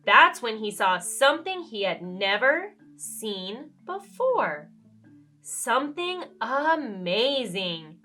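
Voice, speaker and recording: A woman reads a storybook to young children with dramatic emphasis. Her voice is high and bright and she takes her time, over faint music. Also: very animated, takes it slowly. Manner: dramatic emphasis, asks the listener questions. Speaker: children's read-aloud storyteller.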